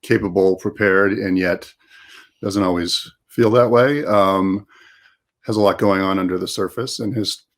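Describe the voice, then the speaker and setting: A middle-aged man with a deep voice talks as a writer introducing a project. He speaks in a casual, conversational way, as in an informal promotional chat. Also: unhurried. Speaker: co-author of a fiction podcast. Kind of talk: casual promotional talk about a story.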